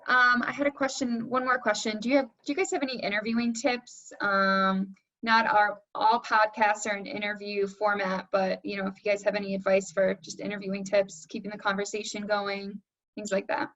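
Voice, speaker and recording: A woman's voice: medium pitch, level tone, normal speed, fairly bright tone. A woman casually moderating a panel and putting questions to guests over a lo-fi video call. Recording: dull, lo-fi video call audio.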